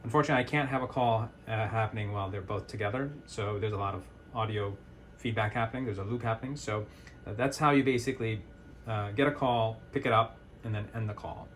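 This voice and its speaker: A man's voice: on the low side, quick pace, fairly bright tone, slight background noise. A man presenting an informal step-by-step product tutorial in a plain-spoken, hands-on, instructional way.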